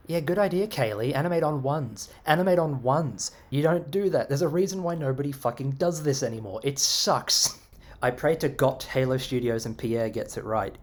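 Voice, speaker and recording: An Australian man casually answering viewer comments, animated and sarcastic with plenty of swearing. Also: medium pitch. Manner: animated, expressive, sarcastic and profane.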